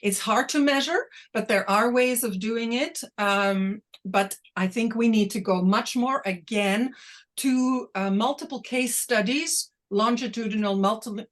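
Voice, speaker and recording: A woman whose first language is Dutch, speaking deliberately and a little slowly as an invited academic answering questions on a video call. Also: a little high. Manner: deliberate, slightly slowed and exaggerated. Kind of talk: online academic talk, Q&A.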